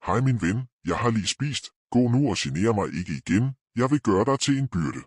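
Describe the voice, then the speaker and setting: A man's voice: mid-range voice, animated, slightly rough, no echo. A man voicing a big, commanding lion, king of the forest, in a children's cartoon story. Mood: dismissive. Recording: loud music behind the voice. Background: music.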